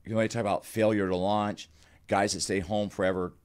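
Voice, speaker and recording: A man's voice: on the low side, level tone, brisk, a little mellow. An older man speaking as a guest psychologist, explaining things to a caller in a reassuring, validating way on a studio microphone. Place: indoor studio. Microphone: close studio microphone on an arm.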